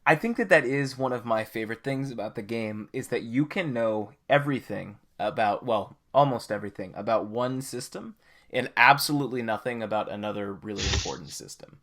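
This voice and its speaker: A man's voice: mid-range voice, fairly quick, a bit bright. A man co-hosting a podcast, explaining things in a casual, conversational way. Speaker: podcast co-host.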